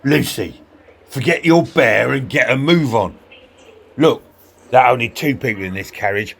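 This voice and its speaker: A man playing a gruff, impatient, bullying British traveller in an amateur radio play, curt and bossy. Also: medium pitch, lively intonation, moderate pace. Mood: irritated. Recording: clearly noisy amateur recording.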